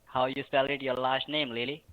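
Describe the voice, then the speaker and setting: A man's voice: mid-range voice, little pitch movement, moderate pace. A man posing as an official on a phone call, asking questions insistently in broken, ungrammatical English.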